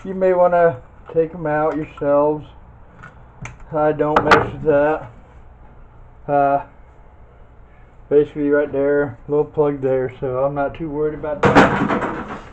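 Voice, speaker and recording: A man's voice: a little high, flat delivery, slow pace, pauses often. A man giving a casual hands-on demonstration as a video host, folksy and thinking aloud while he works. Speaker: video host demonstrating scrap metal teardown. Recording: dull lo-fi sound, faint noise and clicks.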